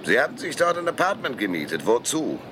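Bright, forceful police interrogator. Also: male voice, medium pitch, big pitch swings, a little fast, slight background noise. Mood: sarcastic, threatening. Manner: probing, sarcastic, threatening. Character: sceptical, menacing male police interrogator. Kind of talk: radio drama dialogue.

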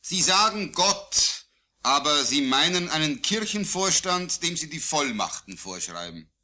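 A man reciting his own poetry solemnly, in a declamatory, deliberate way with phrases falling at the line endings. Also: on the high side, sing-song, sharp tone. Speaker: poet. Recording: digitized vintage vinyl record.

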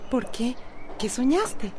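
Anguished, resolute Costa Rican wife, high-voiced. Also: female voice, very animated, slow, darkish tone, a little hiss or hum. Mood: confiding, weary. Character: anguished, resolute wife standing up to abusive husband. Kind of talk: radio soap opera acting.

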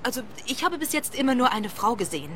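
Neurotic nervous woman, troubled and stammering, bright sharp voice, swooping intonation, radio drama, noisy ambience behind. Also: sing-song, fast. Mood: worried. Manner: nervous, stammering, highly strung. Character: anxious neurotic woman, troubled former clinic patient. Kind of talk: German-language radio play acting.

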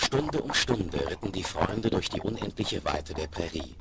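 A man narrating a German radio play in a solemn, dramatic storytelling style with a deep, husky voice. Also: very animated, moderate pace. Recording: noisy vinyl rip, clicks.

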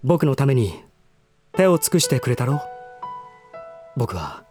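Refined young gentleman, formal and earnest. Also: medium pitch, sing-song, measured pace, soft background music. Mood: tender, resolute. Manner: formal, stiff, earnest speech. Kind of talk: Japanese voice drama acting.

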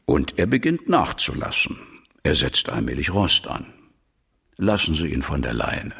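Casting: cool, frank police supervisor in a radio play, deep and raspy, deliberate deadpan phrasing. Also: male voice, heavily acted. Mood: frank, critical. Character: frank, hard-nosed male police supervisor, cool and pragmatic. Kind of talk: German radio drama acting.

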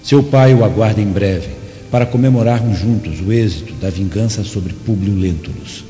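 An actor playing a middle-aged father bent on vengeance in a Brazilian radio drama, measured, firm and paternal. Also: fairly low pitch, dark tone, natural voice. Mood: firm, plotting. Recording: dull audio, reverberant, music behind.

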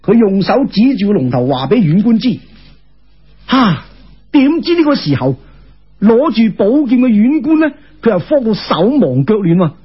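A man telling a folk tale in Cantonese in a theatrical, colloquial storytelling style with exclamations and drawn-out particles, on a lo-fi recording. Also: high-pitched, very animated, breathy edge.

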